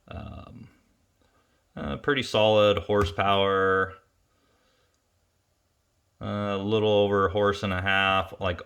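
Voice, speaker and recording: A man with a low-pitched voice explains technical test results in an informal, off-the-cuff way. His delivery is halting, often pausing partway through a phrase as he works out what to say next. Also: a bit bright. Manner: halting, pauses mid-phrase while thinking. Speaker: ATV engine tuner and presenter. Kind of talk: informal technical product review, unscripted explanation.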